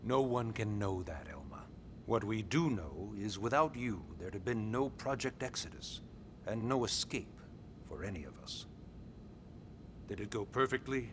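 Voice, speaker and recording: Game commander, middle-aged: lowish voice, composed authority, deliberate pauses, faint rumble behind. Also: male voice, lively intonation. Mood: reassuring, firm. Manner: measured, deliberate, with weighty pauses. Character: composed, authoritative military commander of a human colony. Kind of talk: video game cutscene acting, expository dialogue.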